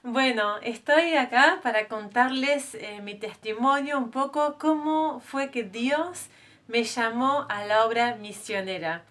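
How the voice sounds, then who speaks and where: A woman's voice: fairly high pitch, takes it slowly, a bit bright. A woman in her thirties from France, giving her missionary testimony to a group of women in an earnest, conversational way, with frequent hesitations and self-corrections. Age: 30s.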